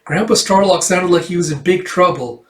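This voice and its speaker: Bubbly young superhero's daughter, played by a man, high voice, comic-book storytelling, echoey room. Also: moderate pace, lightly acted. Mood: worried. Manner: bubbly, childlike. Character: excited little girl, daughter of a superhero.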